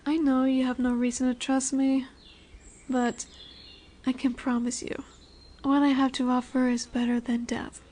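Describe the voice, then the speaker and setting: A woman voicing a dominant but tender lamia in a fantasy roleplay audio drama, speaking softly and soothingly, often dropping into whispers. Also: sits high, little pitch movement, measured pace. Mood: solemn, coaxing. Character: dominant, tender female lamia, snake-bodied forest monster.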